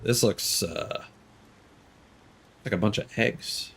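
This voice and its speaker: A man's voice: lowish voice, slow pace, vocal fry, a bit bright, slight background noise. A young man streaming a game, chatting casually and thinking aloud as he plays. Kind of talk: live gameplay commentary.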